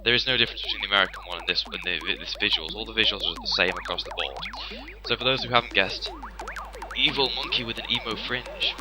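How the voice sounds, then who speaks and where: A man's voice: fairly low pitch, fast. A man casually commenting over a video game, rambling with many restarts and fillers. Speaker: let's play host. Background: game music.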